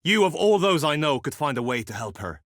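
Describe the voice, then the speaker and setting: An anxious lovesick knight for a video game, very formal old-timey talk, pitch all over, dry sound. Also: male voice, sing-song, fairly quick, fairly bright tone, dry recording. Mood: troubled, confiding. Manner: formal, archaic courtly phrasing. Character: earnest lovesick chivalrous knight, courtly and anxious. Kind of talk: video game character acting.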